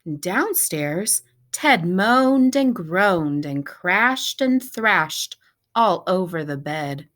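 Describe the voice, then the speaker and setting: A woman reading a children's picture book aloud in a deliberate, measured way, like a teacher reading to pupils. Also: on the low side, lively intonation, fairly bright tone. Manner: deliberate, measured storybook delivery.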